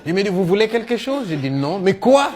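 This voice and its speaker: Conteur congolais à la voix assez aiguë. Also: male voice, high-pitched, a little fast, a crowd in the background. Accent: Congolese French. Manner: animated storyteller, acting out dialogue, punctuating with "hein". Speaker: stage storyteller and comedian. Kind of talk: comic one-man storytelling show.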